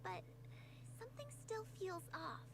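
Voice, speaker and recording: The tiny, sky-high voice of an earnest girl detective in an anime-style game, with a clear hum underneath. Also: huge pitch swings, a little slow, heavily acted. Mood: uneasy, puzzled. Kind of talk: video game voice acting.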